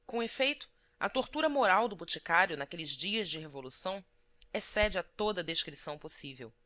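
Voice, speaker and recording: Bright-voiced woman narrating an audiobook. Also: fairly low pitch, animated, a little fast. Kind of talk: scripted literary narration.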